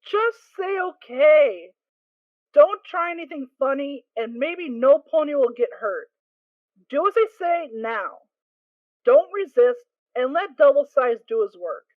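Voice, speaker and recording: A wary stallion guard pony barks curt orders with open suspicion, as a woman plays him. The delivery is slow and somewhat high. The sound is thin and phone-like. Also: expressive, no echo. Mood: suspicious.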